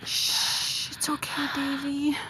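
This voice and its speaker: A woman's voice: sits high, completely flat delivery, slow, pushed and bright, in a whisper, exaggerated voice, rough recording. An actress playing a strained young mother in an audio drama, coaxing with an edge. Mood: soothing. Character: strained young mother of a sleepless five-year-old. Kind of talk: naturalistic audio drama acting. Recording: music under the voice.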